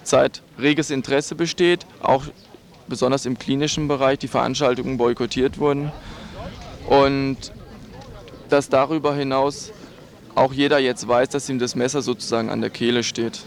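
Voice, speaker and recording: A man's voice: medium pitch, fairly even intonation, moderate pace. A man giving interview answers in formal, wordy phrasing.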